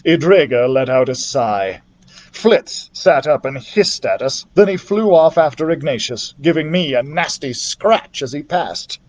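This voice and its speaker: A man's voice: on the high side, lively intonation, measured pace, darkish tone. A man reading a children's story aloud expressively as a library storyteller.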